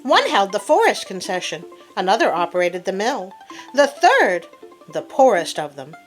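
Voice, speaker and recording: A woman telling a fable as host in an expressive, theatrical read-aloud style with playful asides. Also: medium pitch, normal speed. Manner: theatrical, animated. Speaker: storyteller host. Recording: classical music playing behind. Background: soft piano sonata.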